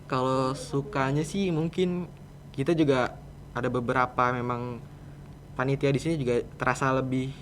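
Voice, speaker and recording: A man's voice: medium pitch, fairly even intonation, moderate pace, fairly bright tone. Teen guy from jakarta chatting on a student podcast, slangy n bit hesitant, mid voice, noisy room. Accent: Jakarta Indonesian. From Indonesia. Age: teenager. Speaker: podcast guest, student program organizer. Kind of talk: casual interview chat.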